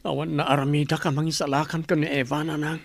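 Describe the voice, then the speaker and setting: A man plays a devoted young son full of worry. His voice is on the high side and dry, with no room around it. He speaks in an earnest, anxious audio drama manner. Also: flat delivery, fast talker, gravelly, no echo. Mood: worried.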